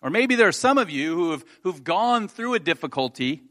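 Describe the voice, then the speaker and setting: A man's voice: sits high, very animated, fairly quick, a bit bright. A pastor giving a conversational sermon, telling a personal story and then urging his congregation with earnest emphasis. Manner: conversational with self-deprecating laughs, rising to earnest emphasis.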